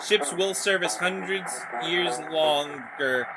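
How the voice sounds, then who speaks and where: Guy doing a solemn canal developer for a horror story, formal speechy delivery, higher voice, noisy background. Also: slow. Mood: solemn, grandiose. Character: solemn male canal developer, a weary former diplomat.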